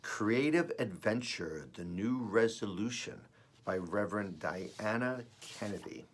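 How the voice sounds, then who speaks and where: A man with a raspy, slightly under-the-weather voice, reading and reflecting as host of an earnest spiritual message, with deliberate pauses. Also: lowish voice, animated, a little hiss or hum. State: voice rough while recovering from feeling unwell. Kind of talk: devotional reading and personal reflection.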